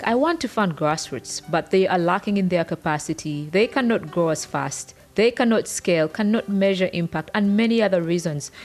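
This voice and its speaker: A woman with a Ugandan accent speaking as a podcast co-host in an earnest, articulate, explanatory way. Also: on the low side. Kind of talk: prepared podcast conversation.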